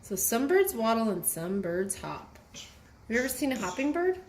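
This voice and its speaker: A woman's voice: a little high, very animated, moderate pace. A woman reading aloud with a child and teaching how to sound out words in an instructive way, stretching them as she reads. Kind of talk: read-aloud phonics lesson with casual chat. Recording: echoey room, slightly distant, faint background noise.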